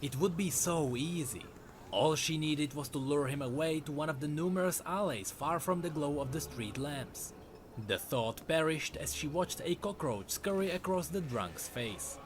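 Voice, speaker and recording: Male narrator for scripted dramatic lore, highish register, very expressive intonation, faint noise behind. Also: normal speed.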